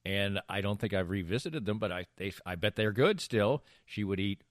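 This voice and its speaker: A man's voice: lowish voice, moderate pace, no room sound, studio quality. A middle-aged American man in casual podcast banter, telling anecdotes in a rambling way. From Massachusetts, USA. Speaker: podcast co-host.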